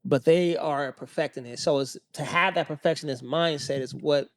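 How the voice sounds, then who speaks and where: A man's voice: fairly high pitch, normal speed, no echo, studio quality. A man from Oakland, hosting a casual conversational interview in an emphatic, slangy, encouraging way. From Oakland, California. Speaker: podcast host.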